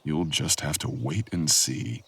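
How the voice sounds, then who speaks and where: A man playing a brooding, possessive, teasing boyfriend in an intimate, unhurried ASMR audio roleplay. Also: a bass voice, a bit bright, slightly rough, heavily acted. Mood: sly, teasing.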